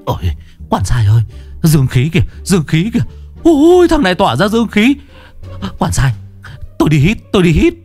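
Man doing a fawning ghost informer, squealing, high, slightly off-mic. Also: expressive, normal speed, long pauses, soft background music.

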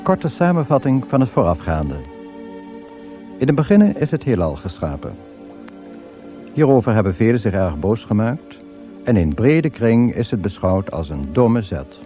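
An actor playing a wry narrator of an absurd science-fiction radio comedy, telling it in a measured deadpan. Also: male voice, lowish voice, lo-fi sound. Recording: radio play with music behind.

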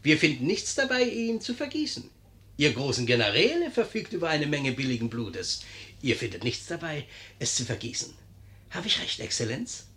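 Bright, lively, servile innkeeper. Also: male voice, fairly high pitch, normal speed. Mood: slyly deferential. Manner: deferential, flattering, gently ironic. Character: servile, sly, cheerfully unflappable Italian innkeeper, man. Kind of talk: 1950s German radio comedy acting.

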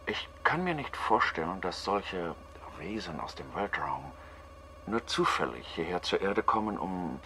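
An actor playing a troubled, analytical astronaut scientist who speaks in a halting, pensive way in a radio play. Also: male voice, low-pitched.

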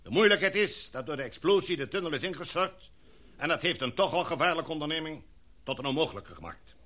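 Authoritative gentleman expedition leader, radio drama, formal oratory, forceful bright tone, fairly high pitch. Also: expressive, fast. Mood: grave, formal.

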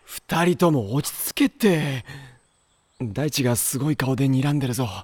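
A man playing an observant, peacemaking high school boy in an anime audio drama. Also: moderate pace, a little mellow, no echo, natural voice. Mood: mildly startled. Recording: music behind the voice.

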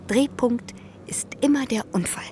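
An actress playing a sharp, insistent female private detective who probes and reasons, in a German radio crime drama. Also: on the high side, measured pace, in a whisper, natural voice. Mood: suspicious, reflective. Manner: probing, insistent.

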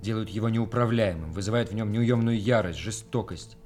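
An actor playing a serious army senior lieutenant who explains things gravely in a measured way, in a radio play. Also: male voice, lowish voice, a little mellow, faint music behind, close to an everyday voice.

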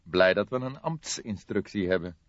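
A man voicing a calm, stubborn old police detective in an audiobook, weary and deliberate in his delivery. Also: lowish voice, no echo, lo-fi sound, lightly acted. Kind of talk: audiobook character dialogue.

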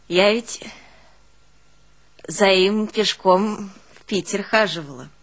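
A woman's voice: mid-range voice, lightly acted. An actress playing an old peasant woman reminiscing in a folksy, emotive monologue, with a northern Russian village dialect. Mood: wistful. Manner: folksy, emotive, with sighing exclamations and wailing quotes. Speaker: stage actress in a one-woman play. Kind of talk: dramatic monologue, folksy storytelling.

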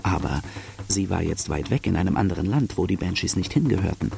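An actor playing a brooding outlaw who gravely narrates his own life story in a radio drama. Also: deep voice, expressive, fairly quick, exaggerated voice. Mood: grave, haunted. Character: brooding young male Irish-Australian outlaw telling his life.

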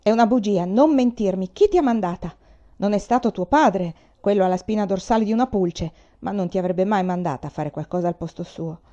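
A woman voicing a big, hot-tempered, bullying older man in an audiobook, booming and firing off blunt questions. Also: steady tone, fast talker, few pauses, warm tone, muffled recording, lightly acted. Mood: furious. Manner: blustering, interrogating, exclamatory. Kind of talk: audiobook character voice.

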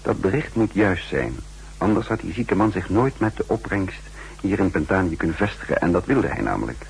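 A man acting a shrewd, sardonic counter-espionage chief in a 1960s radio drama, speaking deliberately in clipped, dryly ironic phrases. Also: sits low, expressive, creaky, a little hiss or hum, low-quality recording. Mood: urgent, commanding.

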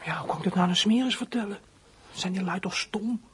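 An actor playing a sullen fourteen-year-old runaway boy in a radio play, muttering bitter thoughts to himself in a husky, breathy voice. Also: medium pitch, quick pace, a bit bright, a little hiss or hum, lightly acted. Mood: nervous, resentful. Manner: brooding inner monologue, muttering to himself.

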